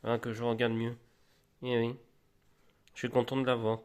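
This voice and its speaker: A man with a slight cold, showing off flea-market finds in a rambling, halting, casual way, with many restarts. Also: mid-range voice, monotone, a little hiss or hum. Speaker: collector vlogger. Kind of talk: casual show-and-tell.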